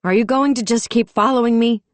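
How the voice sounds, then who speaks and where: A woman voicing a fierce young female off-duty police officer who shouts in anger and fights back tears, in an audiobook reading. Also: on the high side, fairly quick, no echo, voice pushed up, natural voice. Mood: bitter. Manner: shouting, furious, tearful.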